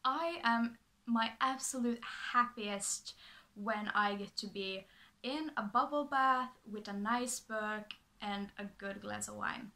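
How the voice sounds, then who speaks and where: Young Finland Swedish woman, native Swedish, bright higher voice, chatty, restarts a lot, talking to a laptop camera in her bedroom. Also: slow. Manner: chatty, bubbly, frequent fillers and restarts. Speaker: vlogger. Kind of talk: casual talking to camera, reading from a script. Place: small bedroom, indoors.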